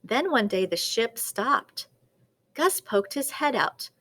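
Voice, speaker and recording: A woman reading a children's picture book aloud as a storyteller, with dramatic, deliberate expression. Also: a little high, very animated, sharp tone.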